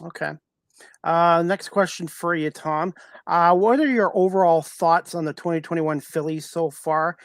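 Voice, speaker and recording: A man hosting a sports talk show, asking casual interview questions in a halting way and rewording them as he goes. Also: on the high side, no room sound, studio quality.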